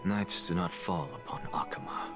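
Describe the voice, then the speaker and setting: Haughty aloof scholar in a game, low voice, cool and curt, music behind. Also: male voice, normal speed, gravelly. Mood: cool, composed. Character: aloof, arrogant male scholar sharing his body with a titan. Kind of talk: scripted game cutscene dialogue.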